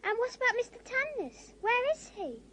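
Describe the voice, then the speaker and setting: A woman's voice: very high voice, very animated, moderate pace, background noise. An actress playing a sweet, trusting, concerned little girl in a children's fantasy drama.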